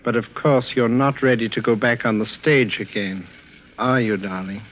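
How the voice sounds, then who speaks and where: An actor in 1940s radio style playing a scheming, falsely tender husband who speaks politely, with unctuous, measured control. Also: male voice, medium pitch, fairly even intonation, close to an everyday voice. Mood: oily tenderness. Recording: muffled old radio recording, faint noise.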